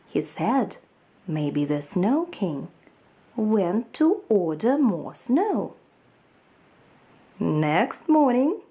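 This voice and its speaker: A woman narrating a children's storybook aloud in an expressive reading style. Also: mid-range voice. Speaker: storybook reader.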